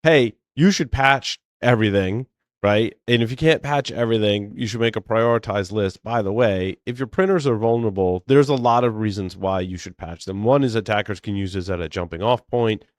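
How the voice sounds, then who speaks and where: A man hosting a casual tech podcast, talking in an animated way with many restarts and asides. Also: fairly low pitch, fairly quick, dry recording, clean studio sound. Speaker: podcast host.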